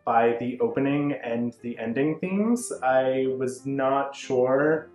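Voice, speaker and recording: A woman's voice: extremely low pitch, slow, darkish tone. A woman talking casually as a panelist in a fan review, explaining her opinions earnestly and with some hedging. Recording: roomy echo, faint background music.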